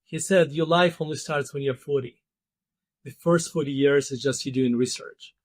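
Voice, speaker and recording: A man in his fifties, a podcast guest explaining ideas thoughtfully with many pauses and restarts. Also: medium pitch, no echo. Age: 50s. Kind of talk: interview conversation.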